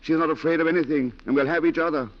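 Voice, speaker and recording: A man's voice: fairly high pitch, fairly even intonation, fast talker, close to an everyday voice. A man playing a hopeful, boastful, unsettled husband, rambling insistently in 1940s radio drama style. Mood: forced cheer. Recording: muffled 1940s radio recording.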